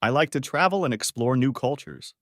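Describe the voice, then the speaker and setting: A man teaching beginner English, reading short model sentences clearly and saying each one twice. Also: mid-range voice, animated, no echo, studio quality. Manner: says each phrase twice, clearly and deliberately.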